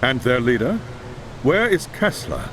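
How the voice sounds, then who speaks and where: An actor playing a commanding High Lore Master who speaks with measured authority. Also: male voice, sing-song, fairly bright tone, slight background noise, rough recording. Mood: grave. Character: commanding older male wizard mentor.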